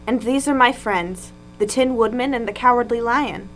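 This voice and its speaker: A woman's voice: a little high, normal speed, a little hiss or hum, pitched above the performer's own voice, close to an everyday voice, low-quality recording. A woman voicing an earnest, polite little girl in a children's storybook reading.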